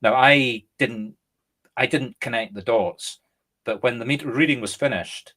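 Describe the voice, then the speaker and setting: A Scottish man, a medium and co-host, chatting casually and explaining through lively examples. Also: mid-range voice, measured pace. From Scotland. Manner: animated, explains through examples and acted-out quotes. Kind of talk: casual conversation.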